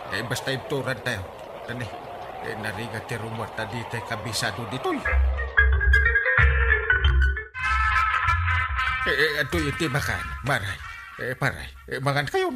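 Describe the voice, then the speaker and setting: An actor playing a comic, stammering village captain with an Ilocano accent in a radio comedy drama. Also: male voice, mid-range voice, big pitch swings, brisk, loud music behind the voice. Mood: hesitant. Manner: stammering, sputtering with repeated interjections. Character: comic excitable village captain, husband who likes gallivanting.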